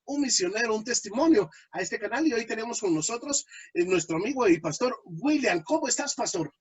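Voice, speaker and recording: High husky-voiced man hosting an interview. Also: animated, brisk. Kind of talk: conversational interview.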